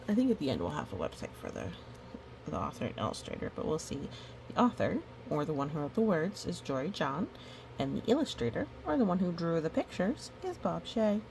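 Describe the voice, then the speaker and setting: A woman's voice: low voice, gravelly, a little mellow, slight background noise, low-quality recording. A woman reading a picture book aloud with playful, chatty asides to the characters and listeners. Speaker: storytime reader.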